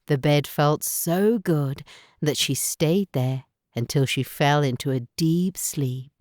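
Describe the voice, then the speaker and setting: A woman narrating a children's bedtime story in careful, expressive phrases. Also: low voice, no echo, clean studio sound.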